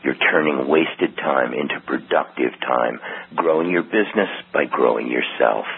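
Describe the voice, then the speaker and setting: Very deep gravelly man, tinny ad. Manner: persuasive, conversational pitch. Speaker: ad announcer. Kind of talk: scripted radio commercial. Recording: tinny phone-like audio.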